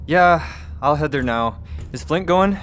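A man's voice: fairly high pitch, lively intonation, unhurried, fairly bright tone, a little hiss or hum. A man playing an easygoing, irreverent colony engineer in a science fiction audio drama, casual and sarcastic. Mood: wry, friendly.

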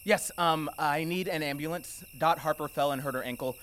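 A man's voice: fairly high pitch, moderate pace, a bit bright, slight background noise, close to an everyday voice. An actor playing a helpful, practical man who calls for help after an accident in a radio drama. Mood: worried. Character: Russ, a helpful man of a small town.

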